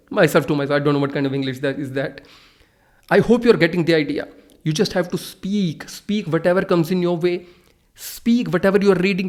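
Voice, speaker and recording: Earnest Indian man with Hindi roots. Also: high voice. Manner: earnest, repetitive, restarts often. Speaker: English-speaking channel host. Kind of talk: casual to-camera talk. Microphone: phone camera.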